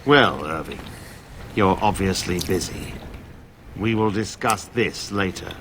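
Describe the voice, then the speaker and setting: An actor playing a stern, easily offended templar commander in a video game, with stiff, formal speech. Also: male voice, sits low, takes it slowly, a bit bright, a little hiss or hum. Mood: curt.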